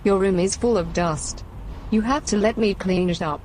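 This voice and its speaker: A woman's voice: mid-range voice, moderate pace, a little mellow, a little hiss or hum, lightly acted, low-quality recording. A woman playing an exasperated, strict mother. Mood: scolding. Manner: scolding.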